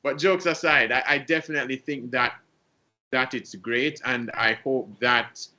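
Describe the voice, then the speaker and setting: A man's voice: medium pitch, fairly even intonation, a little slow, bright, forceful tone. A man with a Jamaican accent on a sports panel, thoughtful and joking, with lots of ums. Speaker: panel guest. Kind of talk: casual sports panel chat.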